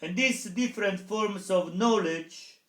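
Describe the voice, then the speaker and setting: A high-voiced man with an Italian accent lectures slowly, stopping often on fillers as he searches for English words. The sound carries a roomy echo. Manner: hesitant, many pauses and fillers. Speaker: professor giving a lecture. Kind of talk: public lecture.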